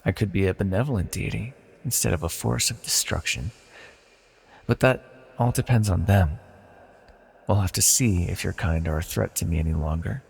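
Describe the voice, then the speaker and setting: A man playing an ancient banished villain deity in a fantasy audio roleplay, proud yet wistful and tender, speaking in a formal, measured, confessional way. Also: sits low, vocal fry, a little mellow, husky, heavily acted. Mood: measured, condescending affection. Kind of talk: scripted fantasy audio roleplay, intimate monologue. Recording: long reverberant hall echo.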